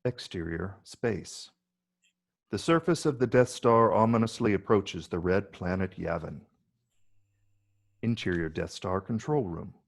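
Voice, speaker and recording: Man, deep, creaky fry, measured, descriptive, scripted stage-direction narration. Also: lively intonation.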